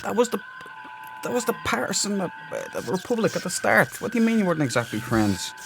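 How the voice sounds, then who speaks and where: A man's voice: high voice, very animated, fast talker, vocal fry, noisy background. A man hosting a casual game playthrough, muttering to himself and playfully repeating phrases. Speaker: let's play host. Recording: loud game ambience behind. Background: video game sound.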